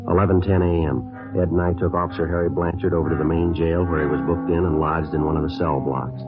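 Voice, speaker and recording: Police detective lead male radio actor, extremely low voice, brisk, impassive and clipped, muffled vintage broadcast sound. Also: music in the background, lightly acted. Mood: deadpan. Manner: deadpan, clipped, matter-of-fact. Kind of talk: 1950s radio drama, scripted narration and dialogue. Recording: muffled old radio recording.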